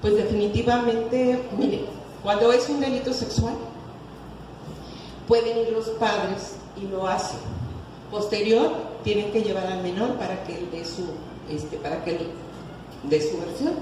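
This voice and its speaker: A woman's voice: mid-range voice, fairly even intonation, a little slow. A woman with a Mexican accent speaking as a conference lecturer, explaining emphatically and answering questions. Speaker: lecturer, clinical psychologist. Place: conference hall with reverberation. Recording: echoey hall, distant mic, noisy.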